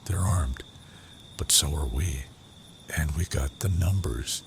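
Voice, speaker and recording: A man playing a gruff, menacing gang leader in an audio comic, giving curt, commanding lines in a gravelly voice. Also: very deep voice, slow, exaggerated voice. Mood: grim, commanding. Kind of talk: audio comic dramatic acting. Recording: clearly noisy track with night crickets.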